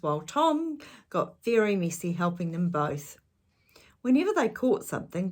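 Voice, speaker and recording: A woman storyteller reads a children's book at a very slow, measured pace. Her voice is fairly low and husky, with expressive delivery aimed at young listeners. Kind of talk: children's storybook read-aloud with asides to the listener.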